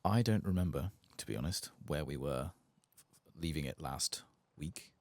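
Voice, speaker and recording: A man with a very low voice and a fair amount of fry, soft-spoken and unhurried as he rambles wryly through ASMR gaming commentary. He swears casually, and the sound is dry and close. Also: lively intonation, no room sound. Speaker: host of a game playthrough channel. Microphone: close mic.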